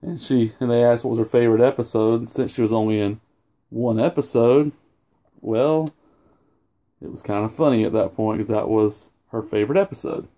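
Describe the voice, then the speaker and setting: A man's voice: medium pitch, steady tone, moderate pace, dark tone. A man casually showing off his autograph collection at home, rambling and often losing his train of thought. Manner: rambling, loses track, self-corrects. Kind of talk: casual home video show-and-tell. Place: indoors at home, over a sofa.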